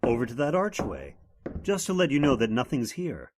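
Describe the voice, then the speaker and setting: A man playing a concerned, steady young hero in old-time radio horror, speaking in an earnest, dramatic way. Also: medium pitch, moderate pace, background noise.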